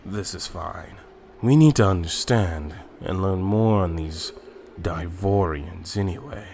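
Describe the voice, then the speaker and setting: Man playing a deep, slow, scheming fighter. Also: vocal fry, a little mellow, slight background noise, exaggerated voice. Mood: amused, musing. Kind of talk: anime fan-drama acting.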